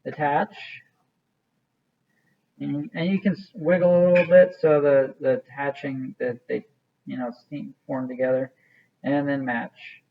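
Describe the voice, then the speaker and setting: A man's voice: mid-range voice, fairly even intonation, measured pace, gravelly, pauses often, highs cut off. A man teaching a hands-on craft demonstration in a casual, informal way, thinking aloud as he works. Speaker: art teacher.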